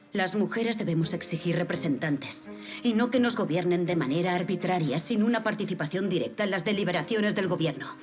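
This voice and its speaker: An actress plays an idealistic woman writer of the French Revolution in an audio drama. Her voice sits fairly low, and she speaks with formal, impassioned defiance. Music plays clearly behind her. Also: lightly acted. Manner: impassioned, formal archaic phrasing. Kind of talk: period audio drama acting.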